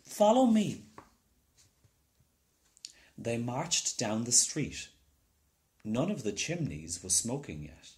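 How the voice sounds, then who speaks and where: Unhurried man reading with lively intonation. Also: mid-range voice. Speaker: storybook reader. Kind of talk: scripted children's story narration.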